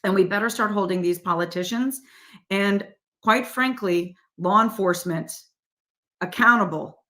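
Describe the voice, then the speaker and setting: A woman's voice: medium pitch, moderate pace, pushed and bright. Insistent woman warning her listeners. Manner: insistent, rhetorical questions, direct address to viewers. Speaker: guest. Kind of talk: emphatic political interview talk.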